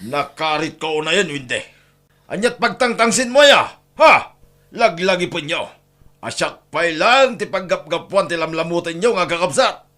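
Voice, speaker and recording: A man's voice: fairly high pitch, animated, measured pace, pushed and bright. A man acting a swooping, domineering Ilocano husband. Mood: angry, bullying. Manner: gruff, scornful, dismissive. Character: domineering, callous, short-tempered philandering husband and father. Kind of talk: Ilocano radio-style family drama acting.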